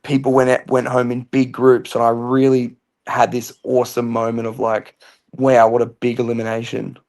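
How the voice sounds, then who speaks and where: A young man with a loud voice, chatting upbeat as a guest in a casual podcast interview. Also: medium pitch, monotone, moderate pace. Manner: chatty, upbeat, says "I feel like" a lot. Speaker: reality show contestant, guest.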